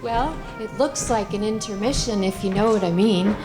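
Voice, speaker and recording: A woman's voice: medium pitch, moderate pace, music in the background, lightly acted. A woman playing a chummy club announcer, giving a comic intermission announcement. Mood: cheerful, chummy. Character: club announcer with chatty upper-class verbal tics.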